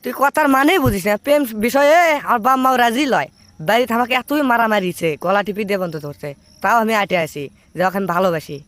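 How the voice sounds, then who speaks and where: A man's voice: sits high, fast, a bit bright, dry recording. A man voicing a heartbroken, stubborn young cartoon character with a Bogura Bangladeshi accent, complaining in a plaintive, earnest way. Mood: earnest, defensive. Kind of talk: comic cartoon dialogue.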